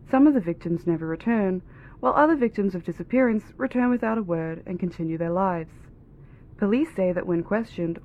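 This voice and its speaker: A woman playing a measured, formal radio newsreader in an audio drama, heard through a radio filter. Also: mid-range voice. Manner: measured, formal announcer delivery. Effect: car radio filter. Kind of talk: scripted news bulletin on music radio. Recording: thin, narrow-band radio sound.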